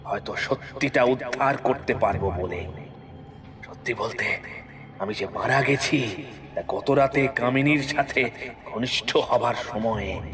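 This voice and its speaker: Man voicing a slow, accusing male ghost. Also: medium pitch, natural voice. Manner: slow, deliberate, measured. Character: dead man's ghost, stern, sorrowful, accusing, quietly menacing. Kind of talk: dramatic audio horror story acting.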